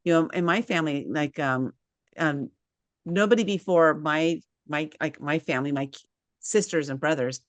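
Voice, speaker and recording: A woman's voice: deep voice, normal speed, dry recording. A woman hosting a casual author chat, telling stories and acting out her own quotes. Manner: animated storyteller, acts out quotes. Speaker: host.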